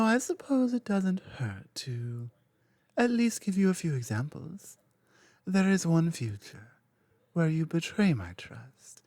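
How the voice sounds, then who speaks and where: An ancient dragon queen, played by a woman, dominant and cryptic, who speaks slowly and lets her mellow voice rise and fall in lively swoops before sinking into soft whispers. The close, dry recording keeps every breath near the ear in this ASMR roleplay. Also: sits low, no echo, heavily acted. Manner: slow, cryptic, commanding, drifting into soft whispers.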